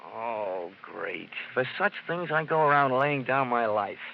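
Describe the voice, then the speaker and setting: A man's voice: mid-range voice, expressive, a little slow, gravelly, a little hiss or hum. An American man playing a wry, hard-boiled investigator in a 1950s radio detective drama, with a sardonic, clipped tough-guy delivery. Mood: wry, weary. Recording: dull vintage 1950s radio recording.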